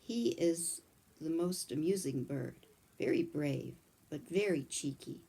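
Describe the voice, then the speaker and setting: A woman voicing a kindly old male country doctor, chatting calmly in a read-aloud storybook. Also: sits low, takes it slowly, creaky, husky, heavily acted. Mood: fond.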